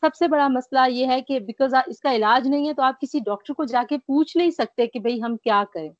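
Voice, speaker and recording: A woman's voice: high-pitched, normal speed, dry recording. A woman, tired and a little short of breath with a mild cough, earnestly describing her illness as an interview guest over a video call. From Pakistan. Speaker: guest recovering from covid. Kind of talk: remote interview. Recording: dry, dull lo-fi call audio.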